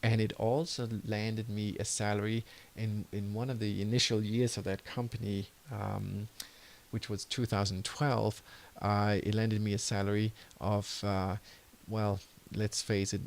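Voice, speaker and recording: A man's voice: on the low side, little pitch movement, measured pace, gravelly. A man from Denmark with a Danish accent, teaching web design over a screen recording in a loose, self-correcting way. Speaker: web design course instructor. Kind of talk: screen-recorded tutorial and course pitch.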